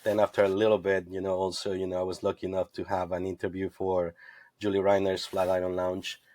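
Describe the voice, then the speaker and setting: A man from Ecuador telling his career story as a podcast guest, earnest and warm, with many "you know"s. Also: low-pitched, completely flat delivery, brisk. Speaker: guest, tequila brand representative. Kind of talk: casual podcast interview.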